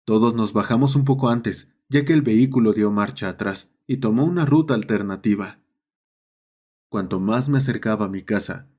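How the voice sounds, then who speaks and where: A man's voice: mid-range voice, darkish tone. A man reading a scripted horror story as its narrator.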